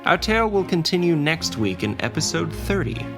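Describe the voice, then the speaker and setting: A man's voice: fairly high pitch, very animated, normal speed. A man narrating a scripted fantasy adventure story as its dungeon master and storyteller. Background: faint ambience and music.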